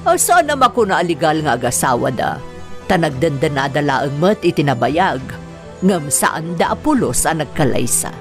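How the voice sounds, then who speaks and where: A woman's voice: deep voice, very animated, normal speed, over music. A woman with an Ilocano accent playing a smug, haughty mistress in a radio-style drama, speaking in a condescending, theatrical way. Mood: smug, dismissive.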